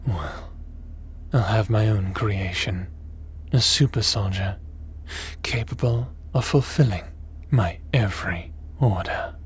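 Sci-fi villain, male, played by an actor: calculating crime boss, deep, unhurried, smugly menacing, faint noise under the voice. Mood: menacing, ambitious. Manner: menacing, measured, smug, theatrical. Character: cold, scheming male crime boss craving power. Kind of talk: sci-fi audio drama acting.

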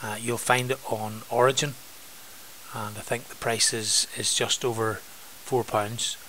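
A man with a Northern Irish accent, an art teacher giving an informal software demonstration for students. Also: medium pitch, little pitch movement, unhurried, slight background noise, poor audio.

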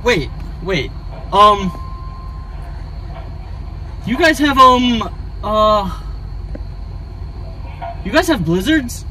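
A man's voice: high voice, sing-song, extremely slow, long pauses, bright, forceful tone, low-quality recording. A man casually ordering as a drive-thru prank, hesitant and stammering, often repeating his words. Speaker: prank vlogger. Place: in a car at drive-thru speakers. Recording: car noise, outdoor drive-thru.

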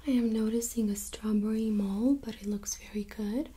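A woman in her thirties speaking softly and often whispering, gently giving instructions as a nurse in an ASMR medical role-play. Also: medium pitch, fairly even intonation, normal speed. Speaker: ASMR performer playing a nurse examiner. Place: small indoor room set up as exam room.

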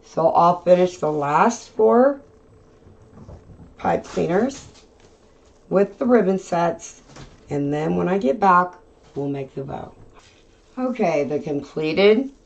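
A woman hosting a craft channel, talking through a tutorial in a casual voiceover with plain, unhurried step-by-step explaining. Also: on the low side, creaky, a little mellow, lo-fi sound. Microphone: voiceover mic.

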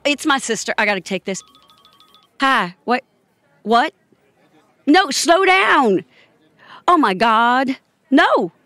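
A woman's voice: sits high, sing-song, slow pace, pauses often, pushed and bright, dry recording, soft background music, full character voice. An actress playing a distressed, fed-up jilted woman in an audio drama. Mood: alarmed.

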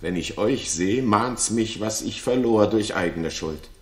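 A man's voice: lowish voice, expressive, a little slow. Grieving aging king, impassioned, swooping intonation, roomy 1960s radio play recording. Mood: sorrowful. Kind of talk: 1960s German radio theatre acting.

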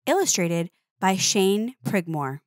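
A woman reading a children's picture book aloud with expressive, playful emphasis. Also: medium pitch, slow, no echo, clean studio sound. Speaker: storybook reader.

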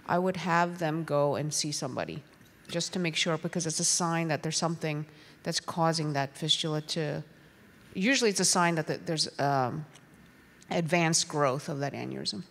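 Female doctor panelist, middle-aged, low voice, explanatory, large reverberant hall. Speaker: physician panelist. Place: large conference hall stage. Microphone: handheld microphone.

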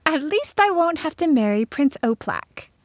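A woman voicing a gentle, affectionate young girl in a storybook, speaking softly and wistfully to a friend. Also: high-pitched, big pitch swings, normal speed, dry recording, pitched above the performer's own voice. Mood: tender, wistful.

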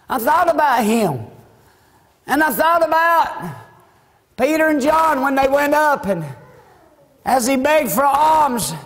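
An older man in his sixties with a Southern US accent, preaching a fervent, emphatic, folksy church sermon. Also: very high voice. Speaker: Baptist preacher. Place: church sanctuary, indoors. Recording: echoey church hall with long reverb.

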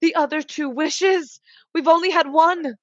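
A high, emotional voice of an elderly mother acted by a woman in a radio drama. She is anxious, grieving and stubbornly insistent. The sound is dry and a little dull. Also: a little slow, no echo. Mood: frantic, urgent. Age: older. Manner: emotional, pleading. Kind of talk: radio play acting.

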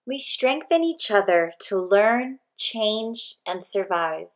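A woman reads a picture book aloud in a mid-range voice. Her pace is very slow and measured. She phrases each short line deliberately and expressively, leaving space between them. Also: medium pitch, lively intonation, poor audio. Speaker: read-aloud narrator.

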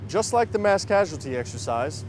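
A man's voice: high voice, very animated, moderate pace, noisy background. Male military news narrator. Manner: crisp announcer delivery.

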